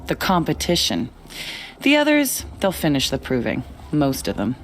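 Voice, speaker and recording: Low-voiced, lively young huntress rival. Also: female voice, moderate pace. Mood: wry, competitive. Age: young adult. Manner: wry, self-assured. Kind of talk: video game voice acting.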